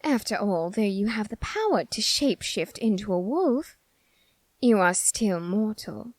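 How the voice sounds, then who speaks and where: A woman's voice: medium pitch, expressive, unhurried, dry recording. A woman performing a flamboyant, haughty and easily exasperated supernatural character in an ASMR roleplay, with a theatrical, condescending, sarcastic drawl. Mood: intrigued, condescending.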